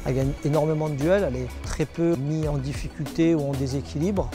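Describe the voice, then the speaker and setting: A man, a coach, giving a measured assessment of a player. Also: on the high side, fairly even intonation. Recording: music playing behind.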